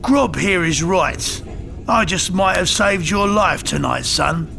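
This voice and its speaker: An ageing, cautious soldier in a fantasy audiobook. The voice is pitched high and creaks, with slow, strained delivery over light music. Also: male voice, expressive, pushed and bright, heavily acted. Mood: cautioning. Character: older male southern soldier, wary and cautioning.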